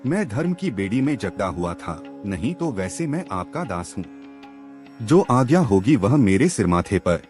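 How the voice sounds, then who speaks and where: A man's voice: fairly low pitch, big pitch swings, normal speed, darkish tone. A man voicing a principled young police inspector, modest, deferential and grave, in a narrated story. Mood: humble, grave. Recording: music under the voice.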